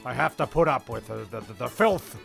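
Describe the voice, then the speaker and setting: Male comedy host, very creaky and forceful, mock-prim, stammering narration with music underneath. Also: mid-range voice, expressive, fairly quick. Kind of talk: mock-serious scripted narration with ad-libbed banter.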